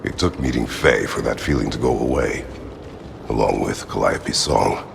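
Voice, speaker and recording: A man's voice: moderate pace, background noise, exaggerated voice. An actor playing a grim, battle-weary warrior father haunted by regret in a video game, speaking in a stoic, solemn, terse way with formal wording. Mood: wistful, regretful. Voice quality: very deep gravelly growl. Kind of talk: video game dramatic acting.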